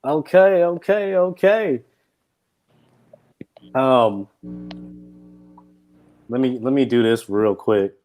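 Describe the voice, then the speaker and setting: A man hosting a live Q&A as a film director, speaking informally and haltingly as he searches for words. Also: medium pitch, darkish tone. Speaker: film director and event host. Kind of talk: casual live Q&A introductions.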